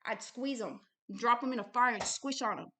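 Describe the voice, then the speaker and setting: A woman who hosts a ministry speaks in a fairly high, sharply bright voice. She delivers religious testimony and exhortation bluntly and emphatically, often repeating a phrase to press the point. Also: normal speed, a little hiss or hum. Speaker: ministry founder and host. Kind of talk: impassioned religious testimony, exhortation and commentary on a book read aloud.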